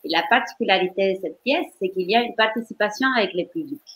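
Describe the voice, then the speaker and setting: A woman in her fifties from Argentina with an Argentine Spanish accent, a dancer and choreographer answering interview questions slowly and carefully. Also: mid-range voice, lively intonation, a bit bright, breathy edge. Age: 50s.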